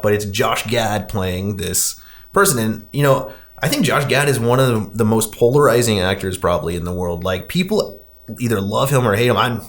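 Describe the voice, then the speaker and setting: Casual male podcast host, emphatic talker. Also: lowish voice. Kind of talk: casual film discussion.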